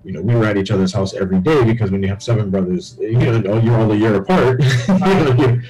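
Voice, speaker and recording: A man's voice: lowish voice, fast talker, mellow, poor audio. A man from Barbados, a guest on a podcast, telling stories from his upbringing in a reflective way. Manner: reflective, storytelling. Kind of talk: interview conversation.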